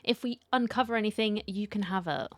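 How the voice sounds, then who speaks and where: A woman's voice: medium pitch, brisk, noisy background. A woman giving casual playthrough commentary as she reacts to a story game, with wry little asides. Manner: wry asides, reading choices aloud. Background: faint game music.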